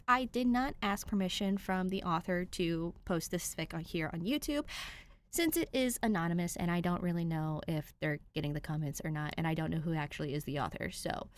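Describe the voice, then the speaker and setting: A woman's voice: on the low side, a bit bright, no room sound. A woman hosting a channel, talking casually and informally to listeners with emphatic stresses. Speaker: podfic reader and channel host.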